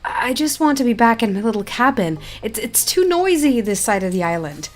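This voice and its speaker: A woman's voice: on the high side, very animated, moderate pace. A woman voicing a wordy, complaining reclusive video game character while reading quest dialogue aloud. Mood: grumbling.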